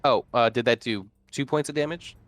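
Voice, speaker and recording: A man's voice: medium pitch, a little fast, a bit bright, no room sound, soft background music. A man chatting casually as a player in a roleplaying game, laid-back, jokey and slangy.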